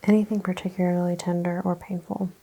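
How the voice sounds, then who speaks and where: A woman doing a soft-spoken ASMR chiropractor roleplay, gently explaining and asking questions in short phrases, often slipping into a whisper. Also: on the low side, flat delivery, brisk, a little hiss or hum, poor audio. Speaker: ASMR host playing a chiropractor.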